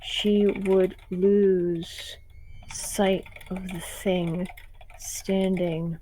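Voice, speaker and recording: Chatty woman streamer, slightly raspy. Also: on the low side, little pitch movement, extremely slow, mellow, slight background noise, rough recording. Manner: chatty, rambling asides. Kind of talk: casual live-stream chat while writing.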